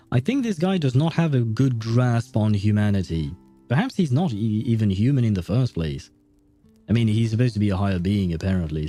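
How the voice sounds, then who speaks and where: Very dark, mellow-voiced male game streamer. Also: on the low side. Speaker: game livestreamer. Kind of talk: casual livestream game commentary. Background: soft game music.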